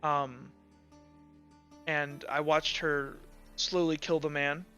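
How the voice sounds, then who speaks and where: Guard commander in a fantasy game, played by a man: shaken, reluctant witness, slow and stammering, bright clear tone. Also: fairly high pitch, little pitch movement, faint music behind. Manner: halting, hesitant, stammering.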